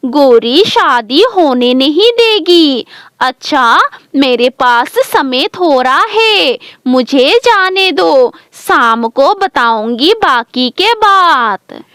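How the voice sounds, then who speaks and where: A woman's voice: high-pitched, fairly bright tone, no room sound, studio quality, heavily acted. A woman voicing a spiteful, scheming female crow in a children's cartoon story, with a scornful, insistent tattling manner. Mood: resentful, vengeful. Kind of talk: children's animated moral story acting.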